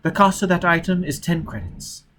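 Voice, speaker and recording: A man's voice: high voice, normal speed, voice pushed up. Man putting on a wheezy, sly peddler. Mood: calm, wheedling. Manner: wheedling, obsequious, haggling. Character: fat, greasy, sly peddler posing as Italian cook. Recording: steady background noise.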